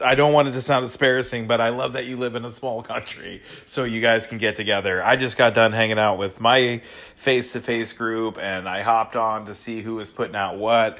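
A man's voice: fairly low pitch, fairly bright tone. A man leaving a casual voicemail, speaking in a laid-back, slangy and effusive way.

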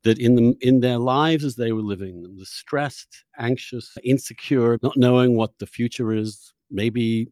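A man's voice: medium pitch, measured pace, hardly any pauses, darkish tone, no echo, studio quality. A man hosting a conversational interview, reflecting and summarizing in a probing way. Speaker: podcast host and interviewer.

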